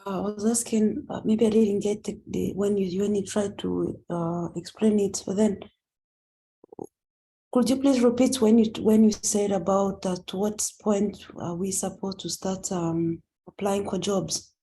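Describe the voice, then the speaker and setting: A woman, a student, asking a question hesitantly with halting restarts on a video call. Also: mid-range voice, steady tone, mellow, a little hiss or hum, poor audio.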